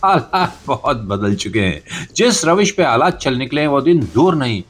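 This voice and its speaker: A man's voice: mid-range voice, big pitch swings, moderate pace, fairly bright tone. A man with a Pakistani accent delivering satirical news commentary as a podcast host, in a sarcastic, theatrical, rhetorical manner.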